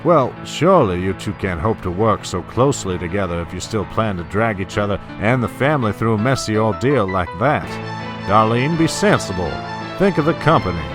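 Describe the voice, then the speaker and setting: An actor playing a scheming, ageing oil tycoon and patriarch in an audio drama, commanding and patronizing. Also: male voice, on the low side, animated, fairly quick, music in the background, natural voice. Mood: coaxing, controlling.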